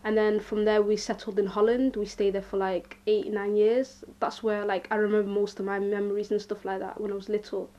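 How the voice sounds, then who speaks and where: A young woman from Somalia casually recounting her past, rambling and relaxed with many fillers. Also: medium pitch, brisk, few pauses. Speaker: interviewee. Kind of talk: casual personal account. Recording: dull lo-fi recording.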